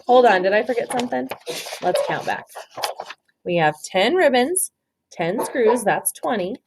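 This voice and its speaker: Woman, lively kindergarten teacher, swooping intonation, reading a storybook and quizzing pupils on counting. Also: fairly high pitch, measured pace, background noise. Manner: prompting, instructive, praising pupils. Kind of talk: picture book read-aloud with counting questions to young children.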